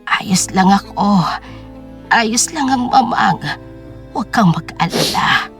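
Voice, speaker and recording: A woman's voice: medium pitch, expressive, takes it slowly, fairly bright tone, soft background music, voice pushed down. A woman voicing a frail, sickly old mother in an audio drama, speaking weakly and soothingly. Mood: tender, weak. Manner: weak, soothing.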